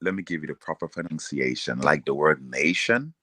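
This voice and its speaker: A man with a Caribbean accent chats casually as an interview guest. His voice is exceptionally deep yet bright and forceful, and it is captured dry and close. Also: a little fast, no room sound. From Union Island, Saint Vincent and the Grenadines. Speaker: guest, singer and musician.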